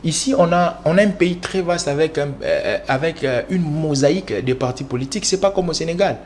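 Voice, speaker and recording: A man from the Congo answers interview questions as a political analyst. He lectures at length, often halting on fillers and restarting his phrases. Faint background noise runs under his voice. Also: a little high, lively intonation, darkish tone. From Congo (DRC).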